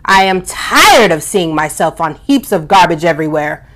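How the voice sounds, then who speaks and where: Woman voicing a booming, angry sky spirit. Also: lively intonation. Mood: stern warning. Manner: slow, forceful, solemn delivery.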